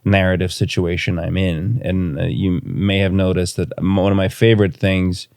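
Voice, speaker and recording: Low, even-toned Romanian-born man, smooth voice. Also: no room sound, studio quality. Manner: thoughtful, halting, pauses to choose words. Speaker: podcast guest, filmmaker. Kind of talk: reflective interview conversation.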